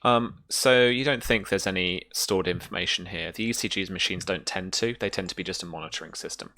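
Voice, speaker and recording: A man narrating as game master in a tabletop roleplaying game, in a halting, descriptive manner. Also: low voice, sharp tone.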